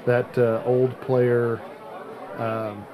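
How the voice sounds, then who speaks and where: Halting male podcast host, chatter behind him. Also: mid-range voice, level tone, low-quality recording. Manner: halting, searching for words. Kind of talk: casual podcast chat.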